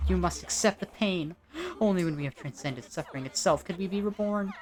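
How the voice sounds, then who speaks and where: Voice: medium pitch, brisk, darkish tone, faint music behind, pitched above the performer's own voice, close to an everyday voice. A performer voicing a gloating, fanatical female villain of monstrous flesh, in a taunting, theatrical way. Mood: zealous.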